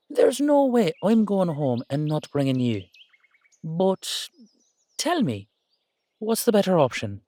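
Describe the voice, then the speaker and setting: A man's voice: a little high, a little mellow, no room sound. A man playing an exasperated but affectionate Irish dullahan rider, chatting coaxingly and teasingly to his horse in a roleplay audio drama. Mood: coaxing, reasoning. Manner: conversational, coaxing, teasing, slangy.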